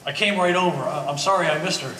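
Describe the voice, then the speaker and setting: A man playing an apologetic, friendly family acquaintance with a halting manner, in a staged play reading. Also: a little high, pushed and bright, far from the mic. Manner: hesitant, halting. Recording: echoey hall, faint background noise.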